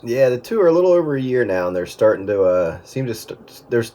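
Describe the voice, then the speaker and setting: A man's voice: mid-range voice, big pitch swings, fast talker, very noisy. A middle-aged man chatting casually over amateur radio in a rambling way, often restarting his sentences.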